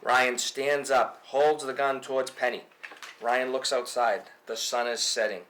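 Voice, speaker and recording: A man's voice: mid-range voice, fairly even intonation, measured pace, fairly bright tone, slight background noise. A man reading stage directions aloud as narration at a film table read.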